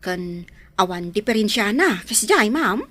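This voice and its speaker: A woman with an Ilocano accent playing a nosy, kindly household confidante in a radio drama, prying and expressive. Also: medium pitch, measured pace, no echo. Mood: coaxing.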